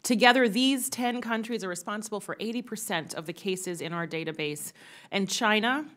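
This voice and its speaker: A woman's voice: medium pitch, moderate pace, fairly bright tone. Woman giving formal testimony at a hearing. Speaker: expert witness. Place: large congressional hearing room, indoors. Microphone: desk microphone.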